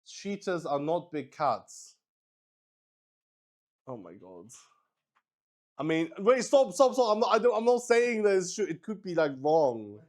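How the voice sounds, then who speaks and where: A man's voice: high voice, very animated, measured pace, long pauses, darkish tone. A man hosting a game in casual improvised chat, thinking aloud and swearing with exclamations. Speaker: solo roleplay player and host.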